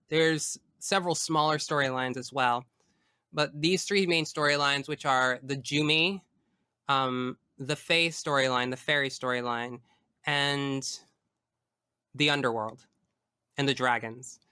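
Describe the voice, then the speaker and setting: Bright, clear, higher-voiced man chatting effusively. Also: dry recording, studio quality. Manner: effusive, emphatic. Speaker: podcast guest. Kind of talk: casual enthusiastic chat about video games.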